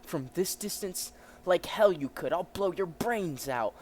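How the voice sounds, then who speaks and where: A man's voice: on the high side, a little slow, background noise, voice pushed up, natural voice. A man voicing a bullying, corrupt 1930s New York policeman from a comic, sneering and threatening in slang.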